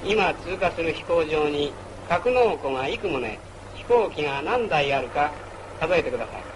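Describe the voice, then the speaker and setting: High-pitched male vintage film narrator. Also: animated, moderate pace, noisy background. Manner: formal, instructional. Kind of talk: scripted narration, 1940s military training film. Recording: old wartime film soundtrack. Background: music.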